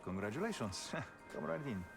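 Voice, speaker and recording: A mid-pitched, very lively male voice as a deferential Italian casino attendant. It is a game cutscene with loud music behind. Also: moderate pace, gravelly. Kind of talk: video game cinematic acting.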